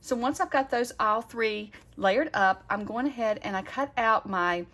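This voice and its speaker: Chatty Southern woman with a bright voice. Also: fairly high pitch, a little slow. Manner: chatty, rambling, self-correcting. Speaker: card-making channel host. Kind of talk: casual craft tutorial.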